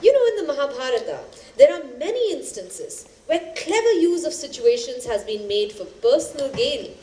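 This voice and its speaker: An actress playing a spirited storyteller who narrates epic tales in a dramatic, expressive theatrical style. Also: female voice, sits high, moderate pace. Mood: engaged. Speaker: stage actor in a two-hander play. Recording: echoey stage, faint background noise.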